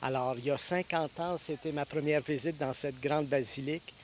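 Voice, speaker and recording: A man in his sixties from Ontario, a priest answering interview questions thoughtfully, pausing and restarting often. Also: fairly high pitch, steady tone, slight background noise. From Ontario, Canada. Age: 60s. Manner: thoughtful, with frequent hesitations and restarts. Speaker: priest and guest preacher.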